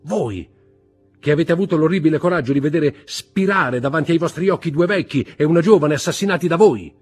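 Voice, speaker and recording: A male narrator acts out a merciless magistrate confronting his wife in a storybook drama. He is commanding and full of contempt, with a husky edge to his deliberate, accusing delivery. Music plays faintly behind him. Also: lowish voice, lively intonation. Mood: furious, contemptuous. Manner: commanding, contemptuous, deliberate interrogation rising to shouts.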